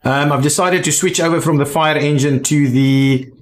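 A man giving casual video game commentary, thinking aloud in a halting way as he plays. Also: on the high side. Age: adult. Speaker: gaming video host.

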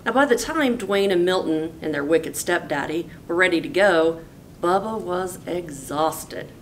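Lady teacher reading a kids story, lowish voice, folksy, rumbly noise behind. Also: animated, a little slow. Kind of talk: expressive storybook read-aloud. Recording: noisy with low rumble.

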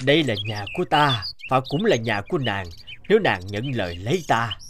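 Man voicing a courteous, expressive storybook suitor. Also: mid-range voice, brisk, background noise, natural voice. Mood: generous, wooing. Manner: formal, courteous. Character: courteous, patient male suitor and steppe king. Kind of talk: Vietnamese cartoon dubbing. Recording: background music under the voice.

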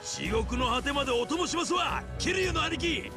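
Gravelly, brash Hiroshima yakuza captain. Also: male voice, very high-pitched, animated, moderate pace, over music. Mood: fired up. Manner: gruff, swaggering, scolding. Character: brash, rough middle-aged yakuza captain, fiercely loyal. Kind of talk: Japanese video game voice acting.

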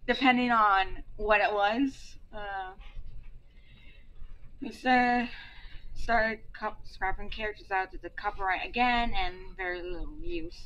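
A woman's voice: mid-range voice, measured pace, a bit bright, muffled recording. A young woman leading a student team, reading slides aloud with hesitant fillers and restarts. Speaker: team leader presenting a project. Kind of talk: reading a class presentation. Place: indoor room.

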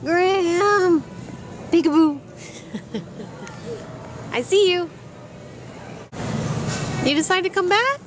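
A young woman filming her toddler at an airport gate, switching between high sing-song baby talk and calm asides to the camera. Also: drawn-out words, pauses often, music in the background. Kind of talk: casual home video narration. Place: busy airport gate area, indoors. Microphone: handheld camera mic. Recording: noisy handheld camera audio in an airport. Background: airport noise and announcements.

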